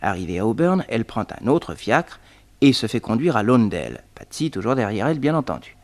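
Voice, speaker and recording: A man's voice: mid-range voice, very animated, brisk. A man playing a young detective who tells his adventure with suspense, in a 1960s radio serial. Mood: lively, suspenseful. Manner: storytelling, suspenseful, addressing listeners. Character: jaunty young male detective telling his own adventure.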